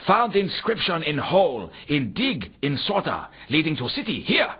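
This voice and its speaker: A man's voice: fairly high pitch, huge pitch swings, normal speed, husky, full character voice. A man voicing an eager foreign archaeology worker in a comic video game, speaking in stilted, garbled word order with pompous long words. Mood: earnest, eager. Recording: dull, lo-fi game audio.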